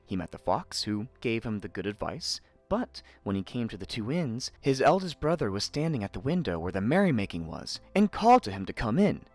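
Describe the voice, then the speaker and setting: Male narrator, lowish pitch, animated intonation, theatrical fairy-tale storytelling, soft background music. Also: a little fast, hardly any pauses, no room sound. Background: faint music.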